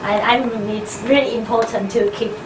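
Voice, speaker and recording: A woman's voice: a little high, steady tone, fairly quick. Polite, hesitant woman interviewer, roomy sound. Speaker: interviewer, university teacher. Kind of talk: interview questions. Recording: echoey room recorded from a distance with noise.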